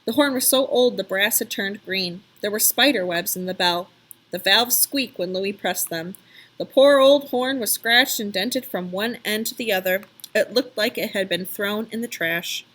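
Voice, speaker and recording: A woman narrating a children's story aloud in an expressive, emphatic storytelling style. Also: mid-range voice, normal speed.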